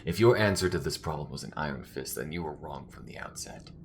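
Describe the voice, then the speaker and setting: A man's voice: lively intonation, a little fast, slight background noise. A man playing a weary, principled space rebel in a sci-fi audio drama, speaking in an earnest, emphatic way. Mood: defiant, firm. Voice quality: low-pitched.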